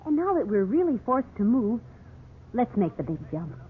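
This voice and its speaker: Tinny, slightly noisy old radio sound frames a firm, anxious wife. She coaxes and scolds with big swoops in pitch, in period drama style. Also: normal speed. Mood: eager, coaxing. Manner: pleading, scolding, expressive. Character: anxious, firm wife and mother, coaxing and reproving. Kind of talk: 1950s radio drama acting. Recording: old noisy 1950s radio, narrow band.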